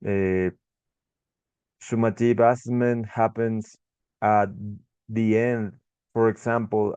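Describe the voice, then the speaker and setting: Slow halting Ecuadorian man. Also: on the low side, a little mellow, clear, resonant tone, no room sound, muffled recording. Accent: Ecuadorian Spanish. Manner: halting, frequent restarts and fillers. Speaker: English tutor. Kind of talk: short class presentation.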